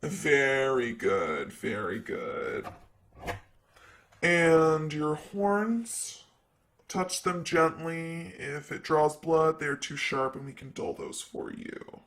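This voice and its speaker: A man's voice: on the high side, very animated, extremely slow, fairly bright tone, exaggerated voice. A man playing a sassy, vain, flirty ancient demon secretary in an improvised ASMR roleplay, with a teasing, casual manner. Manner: teasing, casual, drawling asides.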